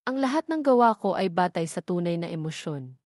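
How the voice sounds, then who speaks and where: A woman voicing an earnest, tender male comic artist in an audio drama, speaking in a formal, measured way. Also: on the low side, animated, darkish tone, dry recording, clean studio sound, voice pushed down, lightly acted.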